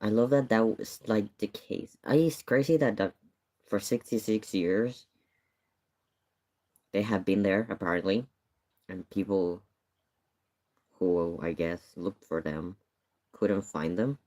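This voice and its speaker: A man's voice: fairly low pitch, slow pace, long pauses, warm tone. A man streaming live, chatting casually and thinking aloud as he reacts to a video.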